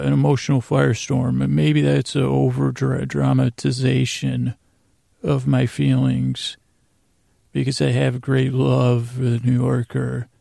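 A man delivers a meandering bedtime podcast monologue in a very creaky, gravelly voice. His intonation stays fairly flat and the tone is soft-edged and dark. He halts, restarts and drifts off on tangents, recorded close and dry. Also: mid-range voice, no echo. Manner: halting, meandering, full of stammered false starts and trailing tangents. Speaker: podcast host.

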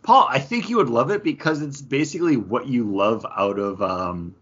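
A man's voice: medium pitch, lively intonation, normal speed, fairly bright tone, breathy edge. An American man co-hosting a podcast in casual, rambling, anecdotal conversation, repeating himself for emphasis. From western New York, United States. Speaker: podcast co-host. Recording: dull, lo-fi audio.

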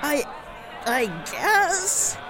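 An actor playing a timid, doubting, hesitant member of a brotherhood in an audio drama. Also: male voice, very high-pitched, expressive, drawn-out words, over music, crowd noise, heavily acted. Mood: timid, doubtful. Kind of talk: supernatural audio drama acting.

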